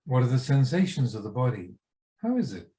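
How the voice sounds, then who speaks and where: A man leading a guided meditation as a teacher, speaking in short, unhurried phrases with pauses between them. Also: mid-range voice, big pitch swings, dark tone.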